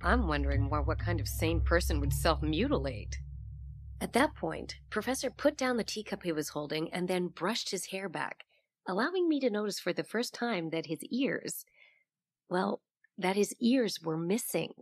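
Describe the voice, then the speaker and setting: A woman's voice: on the low side, animated, normal speed, dry recording. A woman playing an earnest, sceptical young confidante in an audio drama, speaking in a reflective, questioning way. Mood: incredulous. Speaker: narrator and actor in an audio drama. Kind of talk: audio drama dialogue and first-person narration. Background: music.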